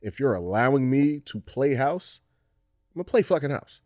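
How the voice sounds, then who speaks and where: A man co-hosting a podcast, talking in a reflective, deliberate way in casual chat. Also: medium pitch, sing-song, a little mellow, no room sound, clean studio sound. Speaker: podcast co-host.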